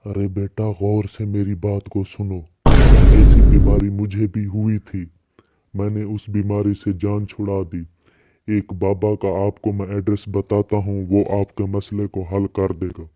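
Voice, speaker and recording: A man's voice: lowish voice, flat delivery, measured pace, background noise, natural voice. A man playing a paternal, instructing older doctor in a cartoon, on muffled audio. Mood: advising. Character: paternal older male doctor, advising, easily annoyed. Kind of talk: cartoon dialogue.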